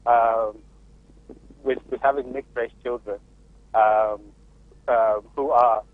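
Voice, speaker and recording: A man's voice: mid-range voice, fairly even intonation, slow pace. A man from Zimbabwe with a Zimbabwean accent, a father on a radio panel calling in from the UK, talking hesitantly with many restarts. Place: remote call from the UK. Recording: dry, phone-like line.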